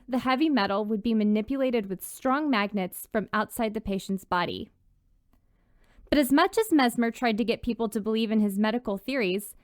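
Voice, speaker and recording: A woman's voice: fairly high pitch, no room sound, clean studio sound. A woman reading a scripted history story in a conversational, explanatory way. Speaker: guest author and storyteller.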